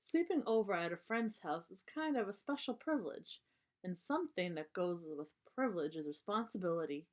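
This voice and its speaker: A woman voicing a caring, cautious mother bear in a children's storybook, measured and instructive. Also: on the low side, expressive, close to an everyday voice. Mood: advising. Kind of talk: children's storybook read-aloud.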